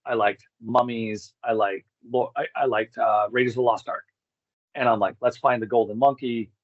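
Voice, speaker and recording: A man's voice: mid-range voice, steady tone, moderate pace, no echo. Man rambling through stories, dull dry recording. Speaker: interview guest. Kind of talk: casual rambling personal storytelling.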